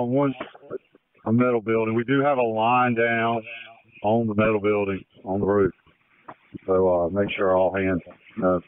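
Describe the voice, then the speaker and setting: A tinny two-way radio carries a man's medium-pitched, clear voice. He gives a plain, informal situation report as a fire officer.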